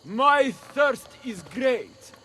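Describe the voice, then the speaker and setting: Game role: melodramatic male stage player, very high voice, slow grand declamation. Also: animated, bright, forceful tone, slight background noise, exaggerated voice. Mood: anguished declaiming. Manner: declamatory, archaic passion-play delivery. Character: theatrical male stage actor playing Christ, frightened and dying. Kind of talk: dramatic game acting.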